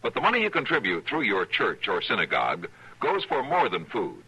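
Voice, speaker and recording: An earnest male announcer delivering an oratorical public appeal, his pitch rising and falling broadly. His voice is bright and sharp, with a husky edge. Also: huge pitch swings, fairly quick, muffled recording.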